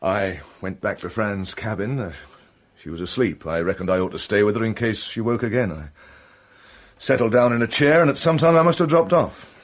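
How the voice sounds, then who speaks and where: An actor playing a hesitant, protective man giving his account in a halting, subdued way in a radio mystery drama. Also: on the low side, close to an everyday voice. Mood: halting, defensive. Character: hesitant, protective male witness explaining himself.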